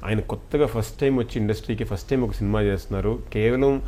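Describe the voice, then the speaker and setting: A man's voice: on the low side, fast talker, mellow. A man with a Telugu accent, an actor giving an earnest, grateful interview and slipping between languages. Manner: earnest, effusively thankful. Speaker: film actor being interviewed. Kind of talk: interview chat mixing Telugu and English.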